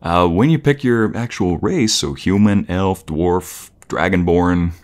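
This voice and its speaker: Low-voiced, playful boyfriend, acted by a man. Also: slow pace. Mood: playful, eager. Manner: playful, teasing, chuckling, rambling. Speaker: audio roleplay narrator. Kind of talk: casual, intimate boyfriend roleplay.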